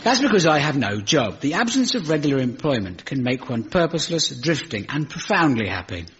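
A man's voice: mid-range voice, sing-song, brisk, slightly rough, highs cut off. A man with a nasal, mumbling voice hosting a comedy show, delivering a wry, sardonic scripted monologue.

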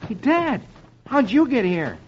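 A man's voice: very high-pitched, sing-song, a little slow, slight background noise, voice pushed up, full character voice. A man voicing an earnest, exclaiming small boy in an old radio comic-strip reading. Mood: eager. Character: excitable young cowboy's son, small boy. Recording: muffled old radio broadcast with music.

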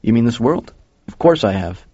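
A man's voice: mid-range voice, lively intonation, moderate pace, mellow, husky. A man voicing a cocky, eager young diner worker with colloquial speech in an audio story. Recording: muffled, roomy recording.

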